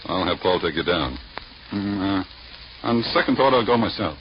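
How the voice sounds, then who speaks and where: A man's voice: low-pitched, lively intonation, normal speed, over loud music. A man playing a genial, joking prison warden in a 1940s radio drama, with a hearty manner. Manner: hearty, jocular. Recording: noisy, muffled vintage radio recording.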